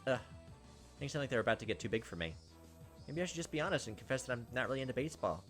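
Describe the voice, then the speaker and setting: A man with a mid-range voice reads a visual novel aloud for a gameplay video. His narration is dramatized and playful. Soft music runs underneath. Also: medium pitch, very animated, very fast.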